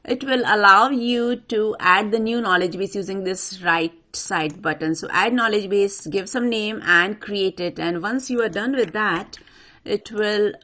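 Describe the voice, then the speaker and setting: A woman presenting an unscripted software tutorial, talking the viewer through each step in an instructional way. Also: medium pitch. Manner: instructional, addresses viewer directly. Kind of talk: step-by-step screen walkthrough, unscripted.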